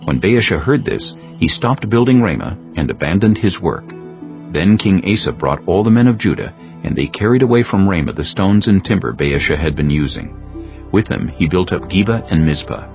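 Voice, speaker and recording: A man narrating scripture as a scripted audiobook, in a solemn, measured storytelling manner. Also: low-pitched, animated. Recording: dull sound with music bed.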